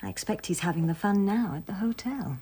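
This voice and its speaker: A woman's voice: medium pitch, animated, fairly quick, mellow, husky. A woman playing a nosy, gossipy French village postmistress in a radio drama, eager, chatty and confiding.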